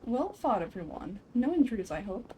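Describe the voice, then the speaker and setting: A woman voicing a courteous, weary older male village chief in a game, speaking in a measured, formal way. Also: on the high side, big pitch swings, dark tone, a little hiss or hum. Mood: concerned.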